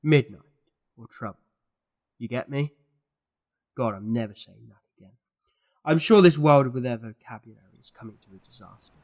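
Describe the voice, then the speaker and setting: A man's voice: mid-range voice, normal speed, pauses often, a little mellow, lightly acted. A man playing an eccentric, moralising alien time traveller in an audio drama, speaking earnestly and emphatically. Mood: warning, wry. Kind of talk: fan audio drama acting.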